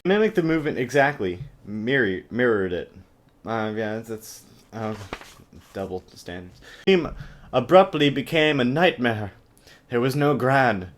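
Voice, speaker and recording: A man with a mid-pitched voice performs a mocking reading of a novel. He is theatrical and exaggerated, dropping sarcastic comments as he goes. It sounds like an amateur webcam recording. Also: big pitch swings, a little slow. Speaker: amateur reader and comic commentator. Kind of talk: mocking dramatic book reading with sarcastic asides.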